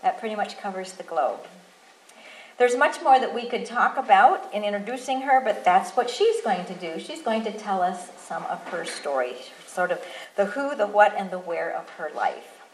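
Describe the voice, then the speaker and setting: A woman's voice: mid-range voice, expressive, normal speed. A woman hosting an event, introducing the guest speaker in an upbeat way and listing her credentials. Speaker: event host. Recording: echoey hall with faint noise.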